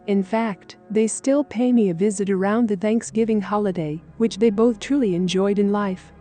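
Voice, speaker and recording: A woman's voice: medium pitch, steady tone, normal speed, mellow. A woman reading a scripted first-person account of a paranormal experience as a story narrator. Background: music.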